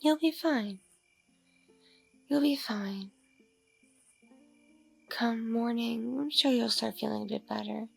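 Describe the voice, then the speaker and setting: Give the part to a mature female vampire, acted by a woman, seductive and politely predatory. Her voice sits fairly high yet crackles heavily with fry. She murmurs slowly and intimately, doting on her prey in an ASMR roleplay and slipping into whispers. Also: soft background music, exaggerated voice. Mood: doting, reassuring. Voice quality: husky, creaky.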